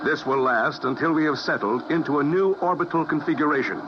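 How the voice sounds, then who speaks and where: A man's voice: on the high side, fairly quick, muffled recording, lightly acted. A man playing a precise, instructive physicist operating a time machine, in a 1950s radio drama on a hissy recording.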